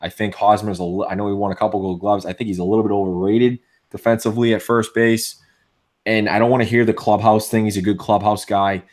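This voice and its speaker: A man with a Boston accent co-hosting a casual sports podcast, opinionated and full of restarts, with a voice going hoarse. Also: lowish voice, flat delivery, quick pace, darkish tone. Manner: opinionated, many restarts and fillers. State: losing his voice. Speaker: podcast co-host.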